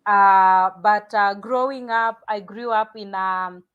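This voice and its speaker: Lady pastor chatting in an interview, mid pitch, keeps restarting and repeating words. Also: steady tone, takes it slowly, clean tone, phone-quality sound. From Kenya. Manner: restarts sentences, repeats words, laughs easily. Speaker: pastor and guest. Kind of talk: casual interview conversation.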